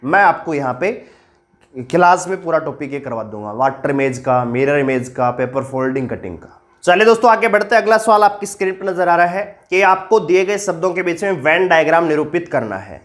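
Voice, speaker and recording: A man teaching reasoning for an exam-prep class, with a sore throat. He puts questions to viewers and answers them himself in a lower, slower voice, explaining step by step. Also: a bit bright. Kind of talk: online exam-prep lesson.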